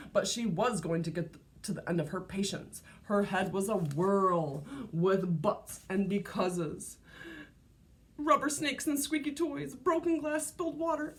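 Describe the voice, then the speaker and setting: A woman reading a storybook aloud to young children like a teacher, expressive and deliberate, prompting her listeners. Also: mid-range voice.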